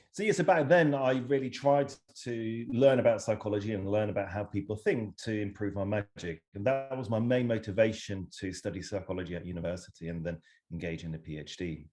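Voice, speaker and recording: Low-voiced man explaining thoughtfully. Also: darkish tone. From Switzerland. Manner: thoughtful, explanatory, with hedging fillers. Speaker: psychology researcher and guest. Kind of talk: casual interview over video call. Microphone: video call.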